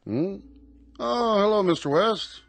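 A man's voice: high-pitched, expressive, slow, creaky, faint music behind, exaggerated voice. An actor playing a polite, cautious male shopkeeper in a radio drama. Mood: wary.

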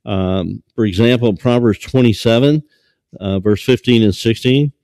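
A man in his sixties preaching a sermon from a small church lectern, halting with many fillers and restarts. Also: medium pitch, a little mellow, no echo. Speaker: pastor.

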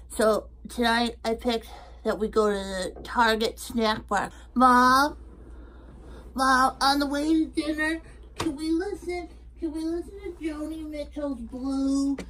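A woman's voice: fairly high pitch, low-quality recording. A woman playing an earnest, old-souled child in a comedy monologue, solemn and precise. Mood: pleading. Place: indoors in a bedroom.

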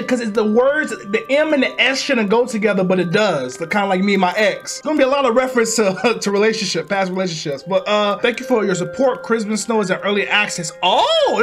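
A man's voice: high-pitched, fairly bright tone. A young American man with a slight speech impediment, casually rambling and teasing himself as he hosts a game playthrough. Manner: playful, rambling, self-teasing. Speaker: gaming video host. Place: indoor room with green screen.

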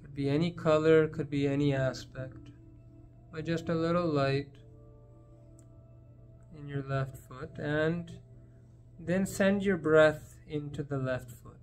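A man leading a guided meditation in a gentle, unhurried manner, leaving long pauses between phrases. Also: on the high side, fairly even intonation, soft background music.

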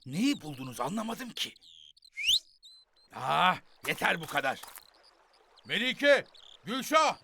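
A man voicing a grumpy, suspicious, easily panicked cartoon schemer, muttering and yelling dramatically. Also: sits high, big pitch swings, slow pace, vocal fry, long pauses, very noisy, exaggerated voice. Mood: suspicious, grumbling. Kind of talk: cartoon voice acting.

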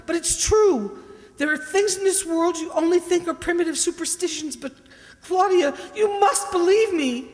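A woman playing a frightened, haunted wife turning undead in a radio mystery play, with a halting, fretful delivery. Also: very high-pitched. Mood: desperate, pleading. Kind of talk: old-time radio mystery acting. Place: stage in a community hall, stand microphone. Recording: echoey hall, music underneath.

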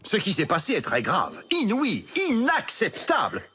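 A man playing a pompous, severe middle-aged school headmaster in a cartoon, husky and emphatic. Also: high-pitched, wildly swooping pitch, a little slow, sharp tone, full character voice. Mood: indignant, stern. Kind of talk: animated children's series dub.

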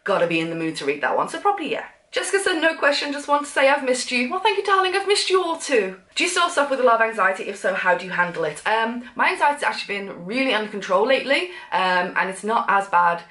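A woman talks to camera at a rapid clip, her voice a bit blocked up from a cough. She chats casually and animatedly from a sofa. The room around her rings with echo. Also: on the high side. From England. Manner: chatty, animated, gestures while talking. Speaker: vlogger. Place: sunroom with sofa, indoors.

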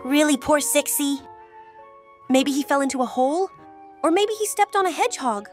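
A high-pitched, gloomy little worrier from a kids' cartoon, picturing disaster after disaster in a slow, glum voice. Light music plays behind it. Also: female voice, expressive. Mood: fretful. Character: anxious number Two creature, gloomy worrier. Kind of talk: cartoon voice acting.

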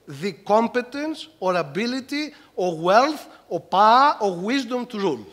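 A man's voice: sits high, fairly bright tone. An older man from Greece, giving an emphatic lecturing talk as a conference panelist at a table mic. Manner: emphatic, lecturing, gestures a lot. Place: large conference hall. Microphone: table mic on stand.

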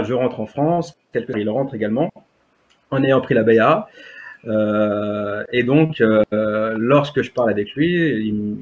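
A man's voice: mid-range voice, slightly rough. A man in his thirties, a native Berber speaker, telling his story as an interview guest on a video call, hesitant with many fillers and restarts. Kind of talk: testimony in conversation. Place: home room, indoors. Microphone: webcam on video call. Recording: dull lo-fi video call audio.

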